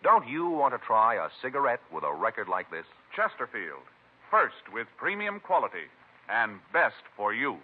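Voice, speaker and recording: A man's voice: mid-range voice, very animated, moderate pace, breathy edge. A man announcing a 1950s radio commercial with an emphatic sales delivery. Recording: muffled vintage radio recording.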